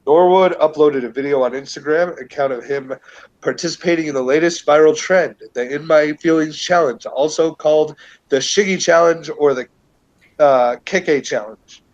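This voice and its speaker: A man's voice: a little high, moderate pace. Congested man reading news over lo-fi audio. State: stuffed up from a cold. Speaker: co-host. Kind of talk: reading a news article aloud. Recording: dull lo-fi call audio.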